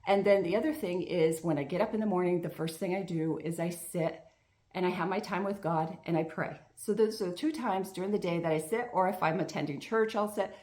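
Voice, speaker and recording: A woman's voice: on the low side, a little fast, darkish tone. A woman in her fifties, a health coach hosting her own vlog, talking earnestly and chattily to the camera with frequent restarts. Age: 50s.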